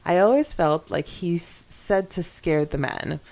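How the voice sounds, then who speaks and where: A woman with a slightly low voice co-hosts a podcast. She reads listener comments aloud and drops in casual, expressive asides as she goes, in a chatty conversational style. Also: a little slow, creaky. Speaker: podcast co-host.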